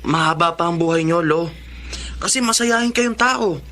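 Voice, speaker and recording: A man's voice: fairly high pitch, moderate pace, sharp tone. A man playing an eager, respectful teenage younger brother in a radio drama, earnest and polite. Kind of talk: Tagalog radio drama acting.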